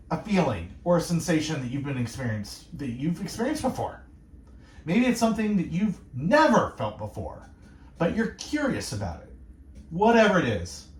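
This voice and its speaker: A male radio host speaking in a calm, soothing, meditative way, gently musing and asking reflective questions. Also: a little high, reverberant, slight background noise. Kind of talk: gentle devotional radio talk.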